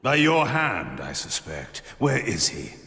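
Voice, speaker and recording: An actor playing an imperious, brooding vampire lord in a video game, with curt, commanding and contemptuous delivery. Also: male voice, on the low side, huge pitch swings, takes it slowly, gravelly, pushed and bright, exaggerated voice. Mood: impatient menace. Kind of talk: theatrical video game cutscene acting. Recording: echoing hall, faint background noise.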